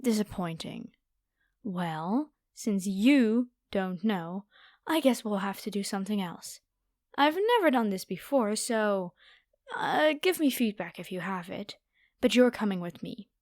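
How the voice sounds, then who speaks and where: A woman's voice: medium pitch, expressive, unhurried, a little mellow, no echo, studio quality. A woman playing a haughty, predatory noble vampire girl in an ASMR roleplay, with a taunting, playful, theatrical delivery. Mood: imperious.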